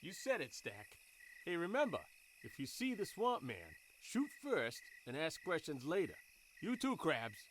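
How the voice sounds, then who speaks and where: A man's voice: a little high, huge pitch swings, a little slow, slight background noise. A man voicing a reckless, trigger-happy young gang leader, cocky and bossy, in a horror radio play.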